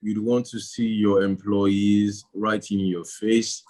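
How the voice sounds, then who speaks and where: A man appearing as a podcast guest who answers in a measured way with hesitant fillers. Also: on the low side, little pitch movement, darkish tone.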